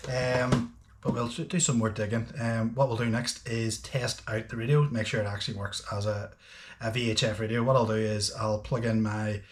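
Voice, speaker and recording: A man's voice: lowish voice, level tone, moderate pace. A man talking casually and a little hesitantly through a hands-on product review, thinking aloud as he goes. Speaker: host of a boating gadget channel.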